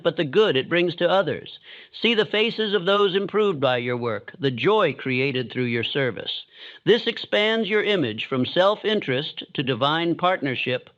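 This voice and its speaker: A man giving a calm, steady, measured narration in the solemn manner of a motivational sleep audiobook. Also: a little high, no echo, lo-fi sound.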